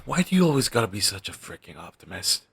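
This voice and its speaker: A man playing a pessimistic zombie best friend with dark sarcasm, speaking haltingly in an intimate audio roleplay. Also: on the low side, sing-song, vocal fry, breathy edge, no room sound, exaggerated voice. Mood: guilty, bitter. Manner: halting, sighing, sardonic delivery with trailing hesitations.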